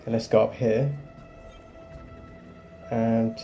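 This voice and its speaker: A man's voice: medium pitch, steady tone, slow pace, lo-fi sound, soft background music. A man giving casual gameplay commentary, thinking aloud as he plays. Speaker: video game player and commentator.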